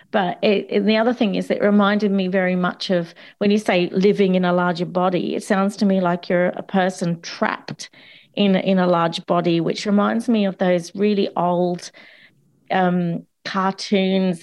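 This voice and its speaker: A woman speaking as a guest on a discussion panel, candid and chatty, with a voice still slightly croaky from a cold. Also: mid-range voice, a little mellow. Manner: candid and chatty, with frequent restarts and laughs.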